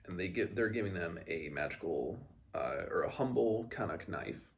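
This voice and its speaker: A man with a low voice full of fry reads from a fantasy novel in a casual read-along style. He moves loosely between the text and his own halting retelling. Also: lively intonation, slight background noise. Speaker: host of a book read-along.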